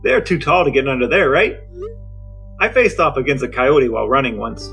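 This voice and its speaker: A man co-hosting a podcast, sharing facts in a dry, deadpan way during banter. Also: mid-range voice, a little fast, creaky, soft background music. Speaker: podcast co-host.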